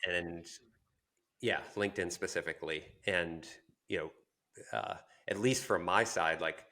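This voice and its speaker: A man co-hosting a podcast, talking casually and reflectively, pausing to search for his words. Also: low voice, bright, forceful tone. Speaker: podcast co-host.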